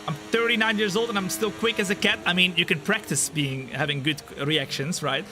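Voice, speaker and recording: A man in his twenties doing live commentary on a racing game while chatting with viewers, excitable during race action and relaxed in between. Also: a little fast. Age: 20s. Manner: excitable, jumps high when the race action happens, laid-back when chatting. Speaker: sim racing streamer. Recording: game music behind the voice. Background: race car engines and game music.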